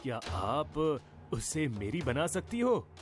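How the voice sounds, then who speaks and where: A man playing a lovesick, timid young college student in an animated horror story. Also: on the high side, slow, music in the background, voice pushed down. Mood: hesitant.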